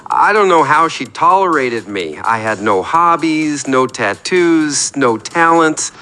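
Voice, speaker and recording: A man's voice: on the high side, lively intonation, takes it slowly, pushed and bright, heavily acted. A man playing a self-deprecating, neurotic caller on a comic radio show, rambling earnestly and apologetically.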